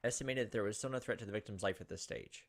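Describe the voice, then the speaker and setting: A man's voice: fairly low pitch, racing. A young man reading game text aloud and commenting casually as a let's play host. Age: young adult. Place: indoors at a computer, webcam.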